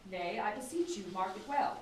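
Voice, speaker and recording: A woman's voice: mid-range voice, lively intonation, moderate pace. A woman student reading old comic stage dialogue aloud in a classroom, picked up away from the microphone. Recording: very echoey room, distant mic, faint noise.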